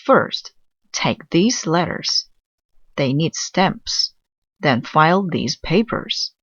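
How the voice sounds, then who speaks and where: A woman's voice: low voice, lively intonation, drawn-out words, no echo, muffled recording, full character voice. A woman voicing a bossy, easily exasperated male office boss in a children's storybook. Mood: instructing.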